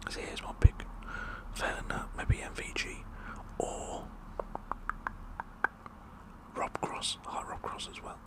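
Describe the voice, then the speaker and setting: A man's voice: slow, long pauses. A British man whispering a rambling, jokey, blokey ASMR roleplay monologue. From United Kingdom. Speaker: ASMR performer.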